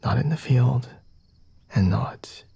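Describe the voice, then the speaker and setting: A man playing a flirty, self-assured vampire lord in an ASMR roleplay, speaking softly and formally in a breathy, often whispered voice with a teasing manner. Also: medium pitch, slow, no room sound, heavily acted. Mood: cool, commanding. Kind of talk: ASMR roleplay, intimate dramatic monologue.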